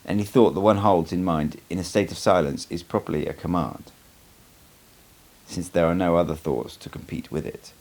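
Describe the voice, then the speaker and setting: Man reading as a dry, low-voiced sorcerer mentor. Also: moderate pace, a little mellow. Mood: instructive. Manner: dry, instructive. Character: male sorcerer teacher, dry, commanding, cryptic mentor.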